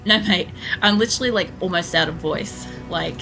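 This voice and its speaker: Hoarse gravelly woman streamer. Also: lowish voice, moderate pace, poor audio. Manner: rambling, chatty, swears casually. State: hoarse, losing her voice. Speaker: game streamer. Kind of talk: casual chat while playing. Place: home room. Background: game music.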